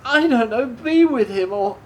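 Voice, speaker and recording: An actress playing a remorseful, awkward driver weighed down by guilt in a naturalistic radio drama, speaking with hesitant stammers. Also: female voice, fairly high pitch, lively intonation, unhurried, darkish tone, slight background noise, rough recording. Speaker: radio play actor.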